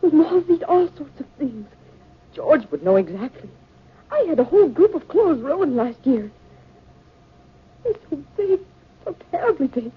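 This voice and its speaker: A very high, swooping voice of a frightened young wife in an old radio play. She is breathless and trembling, with melodramatic delivery. The recording is muffled and vintage. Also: very animated, a little slow, pauses often. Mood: fearful. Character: terrified young wife trapped with giant insects. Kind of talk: 1950s radio drama acting.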